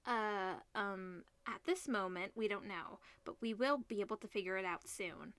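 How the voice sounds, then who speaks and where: A woman's voice: medium pitch, moderate pace, fairly bright tone, clean tone, dry recording, close to an everyday voice. A woman voicing an earnest, polite student survivor in a visual novel, a little hesitant. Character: earnest student pleading for cooperation and food.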